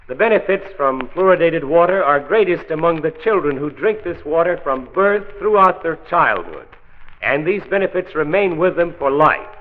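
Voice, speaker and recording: A man playing an earnest county health commissioner who narrates a 1940s radio drama in a measured, folksy manner. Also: fairly high pitch, animated, lightly acted. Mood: persuasive. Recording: tinny old radio transcription.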